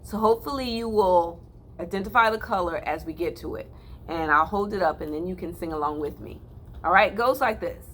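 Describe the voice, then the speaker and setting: A woman children's librarian hosting a virtual storytime, reading and chatting in a gentle, encouraging teacher tone. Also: lowish voice, a little fast, slight background noise.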